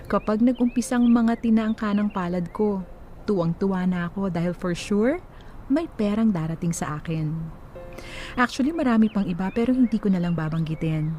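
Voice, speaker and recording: A woman playing a young Filipino letter sender, narrating her love story in a confiding, measured way for radio drama. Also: mid-range voice, warm tone, highs cut off, soft background music, natural voice. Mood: reflective, grateful. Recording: faint background noise.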